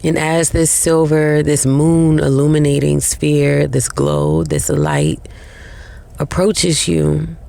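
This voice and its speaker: Mellow woman guiding meditation, heavily creaky voice. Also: sits low, animated. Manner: slow, soothing, with long pauses between short phrases. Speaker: meditation guide. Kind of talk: guided meditation narration.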